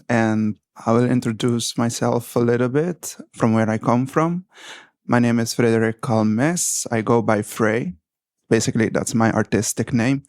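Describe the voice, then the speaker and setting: Measured man speaking in a creaky, even voice. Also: mid-range voice, no echo, clean studio sound. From Haiti and Curacao. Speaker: guest, visual artist. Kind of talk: personal introduction and reflection.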